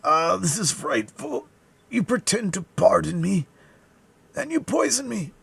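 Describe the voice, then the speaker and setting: A man voicing a dying, poisoned soldier who accuses bitterly in strained, drawn-out, anguished outbursts, in an audiobook story. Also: high voice, very animated, vocal fry, fairly bright tone, slightly rough, dry recording, voice pushed up, exaggerated voice. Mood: anguished accusing.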